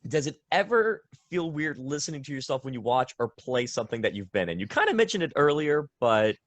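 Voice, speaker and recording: A man's voice: mid-range voice, big pitch swings, a little fast, dry recording. A man interviewing a guest in a casual, conversational way over a video call.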